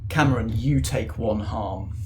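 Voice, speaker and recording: A man's voice: lowish voice, a little slow, a little hiss or hum. A man improvising narration as the game master of a tabletop roleplaying game.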